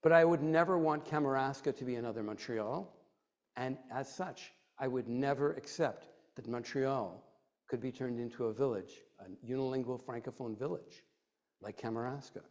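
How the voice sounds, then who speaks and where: A man's voice: medium pitch, normal speed, a little mellow. A middle-aged man from Quebec, giving a rousing prepared speech full of emphatic lists, then answering audience questions. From Quebec, Canada. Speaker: advocacy group president giving a speech. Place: library event room. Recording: dull lo-fi recording.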